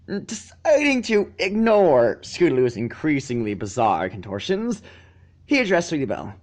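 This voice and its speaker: A man's voice: on the high side, wildly swooping pitch, normal speed. A man reading a story aloud as an amateur narrator, sometimes stumbling and correcting himself. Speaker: fan fiction reader.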